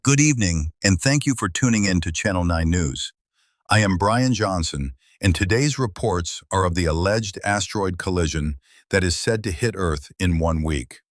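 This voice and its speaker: A man's voice: sits low, animated, moderate pace, no room sound, clean studio sound. A man playing a television news anchor in an audio drama, with a formal newsreader delivery. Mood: composed, formal. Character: male TV news anchor, composed, easily alarmed. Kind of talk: scripted evening news read.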